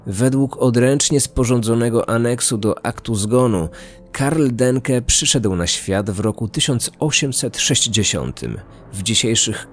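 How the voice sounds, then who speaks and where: A man narrating a scripted true-crime podcast in a measured, articulate manner. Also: lowish voice.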